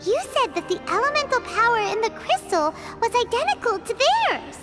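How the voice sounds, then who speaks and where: A woman voicing a small, childlike girl in an anime-style video game, earnest, gentle and excitable. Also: very high voice, moderate pace. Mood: concerned, gentle. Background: game music.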